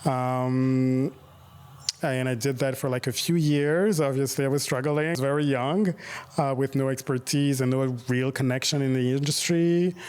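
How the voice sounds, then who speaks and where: A man's voice: medium pitch, steady tone, normal speed, a little mellow, clear, resonant tone. A man in his thirties, born in Rwanda and raised in Belgium, talking as a guest in a casual interview. He talks in a reflective way, with many fillers and restarts. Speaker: guest, makeup artist and photographer.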